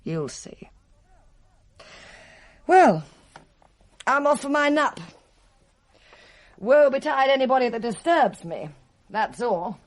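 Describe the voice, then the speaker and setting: A woman's voice: high-pitched, lively intonation, slow pace, long pauses. An actress playing an embittered, world-weary middle-aged British wife and mother in a radio play, with a brooding, sighing manner. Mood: haughty, dismissive.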